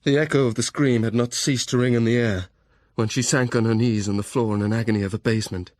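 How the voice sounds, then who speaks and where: A man's voice: mid-range voice, steady tone, fast, a little mellow, dry recording, clean studio sound. A man narrating a gothic story as a scripted dramatic reading.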